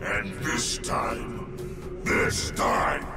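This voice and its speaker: Ancient evil spirit, booming growl, slow, wildly swooping pitch, pompous, menacing, video game villain. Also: male voice, wildly swooping pitch, vocal fry, full character voice. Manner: pompous, threatening, drawn-out grand delivery. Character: ancient evil witch-doctor mask spirit, male, menacing, wrathful. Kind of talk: video game cutscene acting. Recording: echoing, distant, loud music behind.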